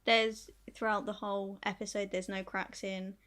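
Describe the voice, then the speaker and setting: A woman's voice: mid-range voice, level tone, moderate pace, a bit bright. A woman chatting casually as the co-host of a podcast. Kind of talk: casual chat.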